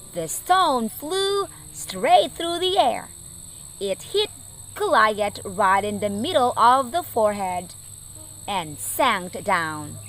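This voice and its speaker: Woman reading kids story, slow n very lively pitch, bit of music and bugs chirping behind. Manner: deliberate, expressive phrasing for children. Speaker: teacher, children's storyteller. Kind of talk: animated read-aloud children's storytelling.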